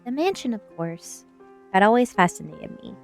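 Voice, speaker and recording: A woman's voice: mid-range voice, sing-song, normal speed, gravelly. A woman streamer reading a novel aloud in ASMR storytelling style, expressively, drawing out key words for emphasis. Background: music.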